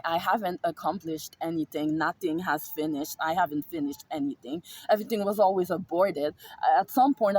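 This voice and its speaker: Husky woman vlogger rambling through a story, emphatic, repeats herself, swears a lot. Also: lowish voice, a little mellow, rough recording. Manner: emphatic, repetitive, swears freely. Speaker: spiritual vlogger. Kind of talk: casual storytelling monologue.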